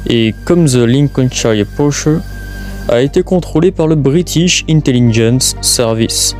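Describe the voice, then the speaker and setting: A man reading a scripted documentary narration as presenter, in a halting way with stumbles. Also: mid-range voice, dark tone. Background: music.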